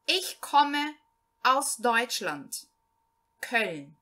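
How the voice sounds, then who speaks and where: Female teacher reading a male learner-text character; slow, lively, bright. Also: fairly high pitch, heavily acted. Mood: plain. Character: man introducing himself in a beginner text. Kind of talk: read-aloud language lesson.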